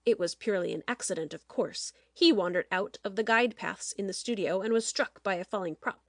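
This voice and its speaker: A woman playing a domineering, cold-blooded TV producer in a dramatic audiobook, speaking in a forceful, pointed way. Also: medium pitch, brisk, no room sound, clean studio sound, natural voice. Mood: grave, scheming. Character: hard, calculating TV show producer, domineering.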